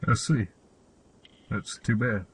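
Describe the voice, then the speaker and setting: A man playing an old, knowing hermit in a radio play, speaking in an unhurried, musing way with a husky voice. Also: lowish voice, fairly even intonation, a little hiss or hum. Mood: musing, matter-of-fact. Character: old hermit fossicker, knowing, quietly amused gear fanatic.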